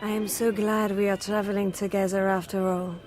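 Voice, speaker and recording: A woman's voice: medium pitch, flat delivery, fairly quick, loud music behind the voice, close to an everyday voice, poor audio. Worldly dancer woman, played by an actress. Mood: flirtatious, candid. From Serbia. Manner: coquettish, frank, precise formal phrasing. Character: worldly, flirtatious dancer woman, frank and unsentimental. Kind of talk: radio drama acting.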